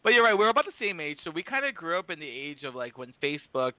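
A man in his late thirties chats playfully as a podcast co-host, with campy teasing and quick laughs. His voice moves up and down in a lively way. The recording is dry and thin, like a phone line. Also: fairly high pitch, dry recording. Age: 30s. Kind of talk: casual podcast chat. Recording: tinny phone-like call audio, dry.

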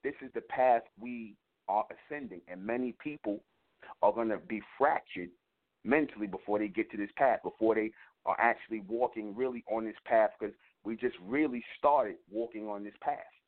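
A man's voice: lowish voice, moderate pace. A man hosting an internet radio show, delivering a repetitive, emphatic spiritual teaching monologue. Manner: preaching, repetitive emphatic phrasing. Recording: tinny phone line.

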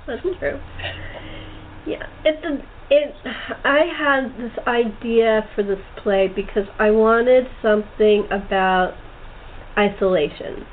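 Chatty woman host on a tinny line. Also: medium pitch. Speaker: host, playwright-director interviewing cast. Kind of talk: casual cast interview. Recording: tinny phone-like internet call, noisy.

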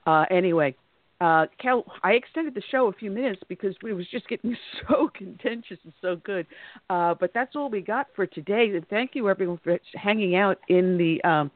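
Radio host guy, upbeat, trips over his words a bit, high voice, thin phone sound. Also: normal speed, no echo. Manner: upbeat, repeats words as he starts. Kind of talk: wrapping up a talk show. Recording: thin phone line, dry.

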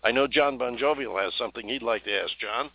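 A man hosting a radio talk show, speaking in a measured way with occasional fillers. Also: medium pitch, vocal fry, slightly rough, dry recording. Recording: tinny lo-fi radio sound.

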